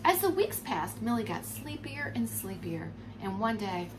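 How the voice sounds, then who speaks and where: A woman in her forties reads a children's story in an expressive teacher's manner. Her voice is fairly high and very animated in pitch, with noticeable noise behind it. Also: measured pace. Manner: expressive, explains words to children. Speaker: preschool teacher. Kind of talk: storybook read-aloud for young children. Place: furnished room at home, indoors. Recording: clear background noise.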